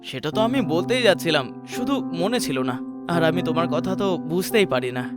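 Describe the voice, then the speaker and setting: An anxious young cartoon thief played by a man, with a high voice over background music. He sounds earnest, hesitant and easily worried. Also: animated, normal speed, lightly acted. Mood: defensive. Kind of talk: cartoon voice acting.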